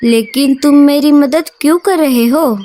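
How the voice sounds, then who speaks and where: A woman's voice: high voice, a little slow, dark tone, voice pushed up. A woman voicing a devoted, anxious mother bird who speaks earnestly in an animal cartoon story. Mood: wary.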